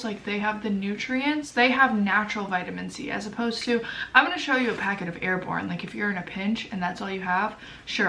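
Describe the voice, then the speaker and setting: A woman's voice: medium pitch, bright, forceful tone, a little hiss or hum. A young woman with a stuffy, sniffly voice chatting casually to camera as a vlogger, full of fillers and self-deprecating asides. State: congested, sniffly. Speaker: lifestyle vlogger. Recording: echoey room, voice a bit far from mic.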